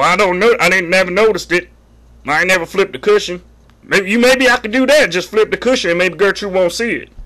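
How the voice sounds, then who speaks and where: Guy doing a high bright fella, folksy n sheepish, rambling on a prank phone call. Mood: rambling, scheming. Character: folksy, bumbling, sheepish married man making prank call. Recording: phone line audio.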